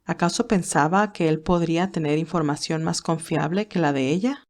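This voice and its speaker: A woman's voice: low voice, moderate pace, a little mellow. A woman reading scripted story narration for an audiobook.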